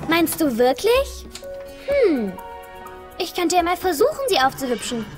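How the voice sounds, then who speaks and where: Little cartoon girl, high cute voice, girly and excitable, bit of music behind. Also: a little slow, exaggerated voice. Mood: eager, doubtful. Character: little girl, girly, glitter-loving, excitable younger sister. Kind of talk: German animated film dub.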